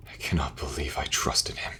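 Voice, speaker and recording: A man playing a weary, dutiful king in a fantasy audio roleplay, with a grave, deliberate, regal manner. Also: pushed and bright, natural voice. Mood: troubled, stern.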